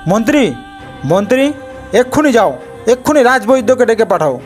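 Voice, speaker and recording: A man's voice: extremely high pitch, lively intonation, moderate pace, faint music behind, pitched above the performer's own voice. A man playing a commanding, worried father king in a cartoon fairy tale, speaking in imperious declamation.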